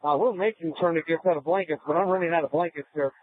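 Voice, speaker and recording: Quick, higher-voiced man on scanner radio. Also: slight background noise. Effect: police radio. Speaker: emergency responder. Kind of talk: radio transmissions. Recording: muffled police scanner radio.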